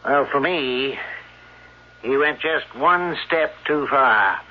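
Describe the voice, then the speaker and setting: Radio storyteller, played by a man: shrewd small-town type, slow and suspenseful, slightly dull vintage sound. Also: mid-range voice, close to an everyday voice. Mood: wry, measured. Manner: deliberate, suspenseful, explanatory. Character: shrewd, unhurried townsman telling a grim tale. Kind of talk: old-time radio drama storytelling. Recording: dull old radio recording.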